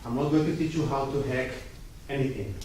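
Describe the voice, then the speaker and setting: A man's voice: medium pitch, brisk, background noise. A man with an Israeli accent giving an informal, joking talk as a guest speaker at a coworking space. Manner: informal and joking, short chatty asides. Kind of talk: casual presentation to a small audience. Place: coworking space event room. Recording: echoey room, mic at a distance.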